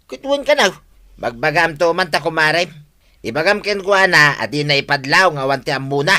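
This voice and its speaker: A concerned Ilocano village go-between in a radio comedy drama. His voice is rather high with a sharp, forceful edge. He pleads urgently to keep the peace. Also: animated, a little fast.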